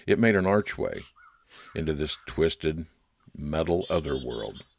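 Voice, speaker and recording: A man playing a private investigator who narrates his case in old-time radio style, with deliberate dramatic pauses. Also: very deep voice, expressive, creaky, a little hiss or hum, full character voice. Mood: reflective. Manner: deliberate, dramatic pauses, stretched descriptive phrases.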